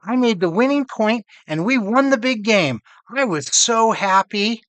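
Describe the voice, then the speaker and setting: Man reading as a tender, expressive storybook mother. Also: high voice, dry recording, pitched above the performer's own voice. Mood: fond, joyful. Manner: drawn-out, emphatic, tender storytelling. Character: loving mother fondly recalling happy times to her child.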